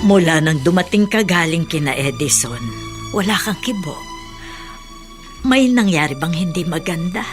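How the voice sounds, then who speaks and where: Actress as gently firm older woman confidante. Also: on the low side, lively intonation, normal speed, close to an everyday voice. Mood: gently probing. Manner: gentle, earnest counselling. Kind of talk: Tagalog radio drama acting. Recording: music behind studio drama voice.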